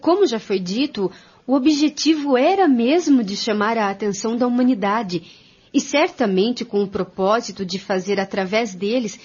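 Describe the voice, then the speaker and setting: A woman with a Brazilian accent playing an earnest, formal spiritist commentator in a scripted radio drama, with a husky voice. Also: on the high side, normal speed, darkish tone. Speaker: radio drama narrator and discussant. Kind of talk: scripted radio drama narration in dialogue form. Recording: dull, lo-fi audio.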